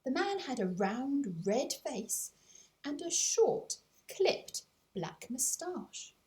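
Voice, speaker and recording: A woman narrating a scripted storybook as an audiobook, in an expressive storytelling manner. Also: high voice, drawn-out words. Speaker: author narrating her own audiobook.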